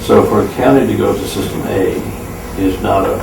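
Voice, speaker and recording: A man explaining a problem at a public meeting in a measured, methodical way. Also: lowish voice. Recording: echoey room, distant mic, clear noise.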